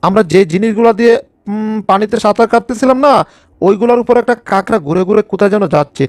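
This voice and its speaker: A high-voiced cartoon bulldog, played by a man, anxious but taking charge. He grumbles and scolds in exclamations. The sound is very dry. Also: fast talker, a little mellow, no echo, natural voice. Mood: scheming. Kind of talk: cartoon fan dub.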